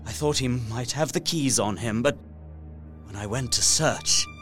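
Gothic audio drama: male actor as desperate young Victorian gentleman, mid-pitched, deliberate and emotive journal narration, music underneath. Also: lightly acted. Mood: hushed dread. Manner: intense, deliberate, emotive delivery with formal diction.

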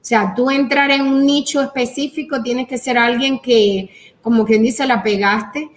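A middle-aged Venezuelan woman speaking as an interview guest, earnest, talking in long flowing runs. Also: fairly high pitch. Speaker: guest, entrepreneur. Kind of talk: interview conversation.

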